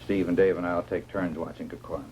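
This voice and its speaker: An actor playing a calm, weary scientist doctor in a 1950s science-fiction film, with a measured, reassuring manner. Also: male voice, deep voice, a little hiss or hum, lightly acted. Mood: grave, reassuring. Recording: 1950s film soundtrack with music.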